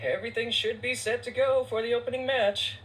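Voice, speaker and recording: A man's voice: very high-pitched, steady tone, a little fast, fairly bright tone, far from the mic, a little hiss or hum, rough recording. An actor playing a courteous, upbeat male event organizer in a video game, explaining things politely.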